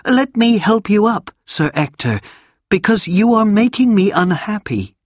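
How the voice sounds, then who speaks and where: A man voicing an earnest, anxious young boy in a storybook reading, speaking high and pleading. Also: big pitch swings, measured pace, slightly rough, no echo, studio quality, full character voice. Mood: distressed.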